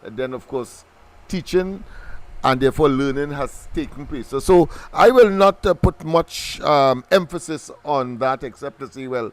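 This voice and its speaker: A man's voice: medium pitch, no room sound. A man hosting a political talk radio show, speaking in formal phrasing broken by many ums and restarts. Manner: halting, full of ums and restarts, formal wording.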